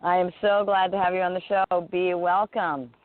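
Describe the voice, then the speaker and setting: A woman's voice: on the low side, flat delivery, normal speed, no echo. A woman hosting an interview show, introducing and questioning her guest with eager enthusiasm. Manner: enthusiastic, uses fillers like "you know". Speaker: host. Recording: dry, tinny call audio.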